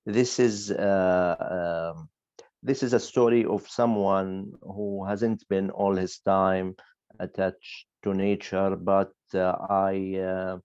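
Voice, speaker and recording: A man's voice: deep voice, flat delivery, slow, darkish tone, no echo. A middle-aged man giving a reflective presentation as a guest speaker, hesitant and searching for his words over a dull online-call connection. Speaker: guest speaker, communications expert.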